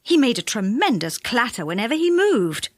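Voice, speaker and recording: A woman narrating a children's audiobook in an expressive storytelling style. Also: a little high, moderate pace, dry recording, studio quality.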